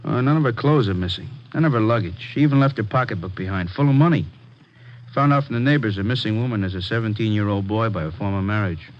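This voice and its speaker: A man's voice: on the low side, fast talker, lightly acted. An actor playing a worried, insistent male police detective, colloquial and earnest, in a vintage radio crime drama. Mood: earnest reporting. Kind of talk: 1940s-50s radio crime drama acting. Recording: muffled, faintly noisy old radio recording.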